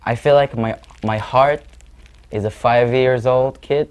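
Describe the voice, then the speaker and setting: A man's voice: mid-range voice, steady tone, measured pace, smooth. A young man in his twenties from Israel with an Israeli accent, speaking earnestly as an interview guest and sometimes searching for words. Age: 20s. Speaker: guest musician. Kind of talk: interview answers.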